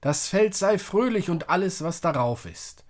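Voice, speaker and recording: A man's voice: sits high, lively intonation, a little slow. A man reading psalm and prayer as a church service leader, solemn and declamatory.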